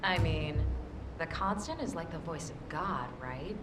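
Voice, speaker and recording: An actress playing a smug, ambitious young socialite villain, taunting and sarcastic, in a video game cutscene. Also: female voice, deep voice, lively intonation, unhurried, noisy background. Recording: echoey room, loud music behind.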